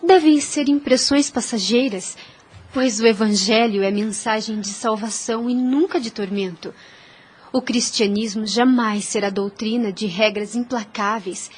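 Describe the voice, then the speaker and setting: A high-pitched, gentle and devout young woman played by an actress in an audio drama. She speaks formally and earnestly, like a teacher of faith, in measured phrases. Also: husky. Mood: reassuring.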